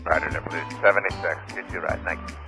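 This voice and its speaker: A man's voice: sits low, fairly even intonation, fast, gravelly. A man speaking as an airline pilot, giving readbacks and casual banter over aviation radio. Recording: aviation radio with faint music.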